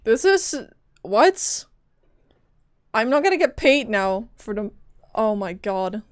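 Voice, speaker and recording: A woman's voice: a little high, very animated, slow, no room sound. A woman game streamer chatting casually and playfully as she reacts to a story game on a close mic. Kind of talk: casual reactions and chat over a game playthrough. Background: faint game music.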